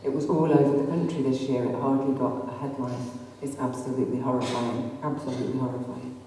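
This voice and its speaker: A woman's voice: very deep voice, little pitch movement, brisk, warm tone, a little hiss or hum. A middle-aged woman with a Greater Manchester accent gives an impassioned public talk to an audience, full of ums and rhetorical questions. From North West England. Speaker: activist speaker. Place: arts centre hall. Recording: distant echoey hall recording.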